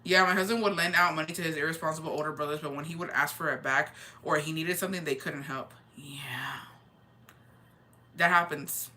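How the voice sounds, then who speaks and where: Brief: deep-voiced woman livestreamer, bright, forward tone, slangy gossip chat. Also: fairly even intonation, fairly quick. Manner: chatty, slangy, mixes in Spanish phrases. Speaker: livestreamer reading viewer comments.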